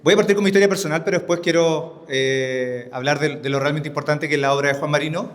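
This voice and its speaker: A man's voice: a little high, fast. A man with a Chilean accent giving an informal opening speech as a curator, often correcting himself. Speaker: writer and exhibition curator. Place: indoor exhibition opening. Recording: public event with crowd and faint noise.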